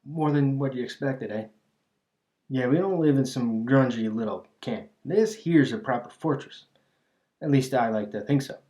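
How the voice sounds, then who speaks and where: A man's voice: medium pitch, normal speed, dark tone, lightly acted. A man playing a cocky but friendly bandit gang leader in an ASMR roleplay, teasing and chatting in folksy slang. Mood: teasing, easygoing. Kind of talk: ASMR roleplay monologue addressed to listener.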